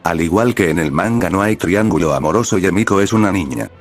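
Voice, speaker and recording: A man with a low-pitched voice narrates a scripted retrospective. He presents it as a video narrator, with music playing faintly behind him. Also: fast talker.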